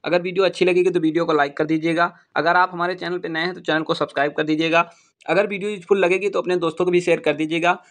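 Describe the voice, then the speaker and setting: A man's voice: sits high, flat delivery, fast, fairly bright tone. A man teaching in an informal explainer style, repeating points for emphasis. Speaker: exam-prep YouTube teacher. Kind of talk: informal explainer addressing students.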